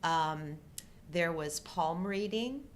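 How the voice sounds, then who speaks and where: A middle-aged woman co-hosting a casual live show, explaining things in a halting, hesitant way. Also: on the low side, slight background noise. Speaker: co-host.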